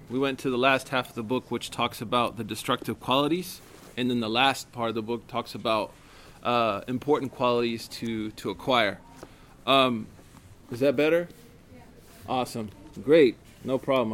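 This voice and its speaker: Male study-circle teacher, deep voice with a cold, casual lecture with fillers, faint ambient hum. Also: steady tone, normal speed, a bit bright. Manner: conversational, with many fillers and restarts. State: has a cold. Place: community center.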